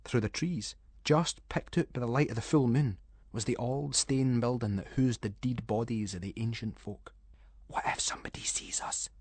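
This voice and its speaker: A Scottish man narrating a ghost story in Scots dialect, with an expressive, suspenseful storytelling delivery. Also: medium pitch, normal speed, slightly rough. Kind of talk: dramatic scripted narration of a ghost story for schools radio.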